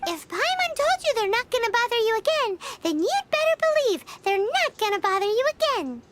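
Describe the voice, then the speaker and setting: A woman's voice: very high voice, big pitch swings, a little fast, heavy background noise. A woman voicing a small, bossy, easily indignant fairy-like girl companion in a video game, speaking of herself in the third person. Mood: smug, confident. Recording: music behind the voice.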